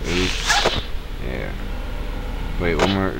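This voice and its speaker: A man's voice: low-pitched, expressive, extremely slow, vocal fry, heavy background noise. A man giving casual gameplay commentary, muttering wryly to himself as he plays. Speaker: gamer, let's player. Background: loud game music.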